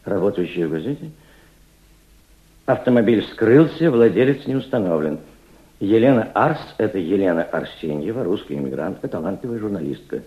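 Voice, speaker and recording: An actor playing a kindly, thoughtful diplomat in a Soviet radio play, with measured theatrical delivery. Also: male voice, lowish voice. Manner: theatrical, measured, addresses his companion gently. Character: kindly middle-aged Soviet diplomat, fatherly, thoughtful, resolute. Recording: muffled archival radio drama recording, music behind.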